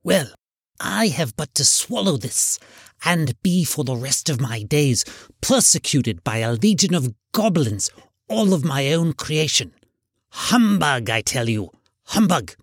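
A man's voice: on the high side, very animated, a little slow, husky, full character voice. A man voicing a crotchety, frightened old miser in a storybook reading, querulous and pleading in a thin, strained voice. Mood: scornful, stubborn.

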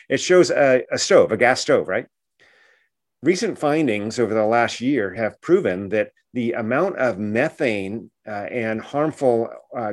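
A man speaking as an expert guest in a radio interview, explaining things earnestly and often checking in with a short questioning tag. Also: mid-range voice.

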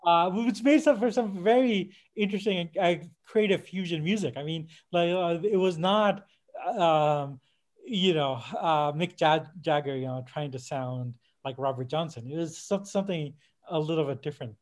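Higher-voiced man, discursive podcast talker. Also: normal speed, darkish tone. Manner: discursive, hedging, peppered with "like" and "you know". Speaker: podcast speaker. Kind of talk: casual discussion of music history.

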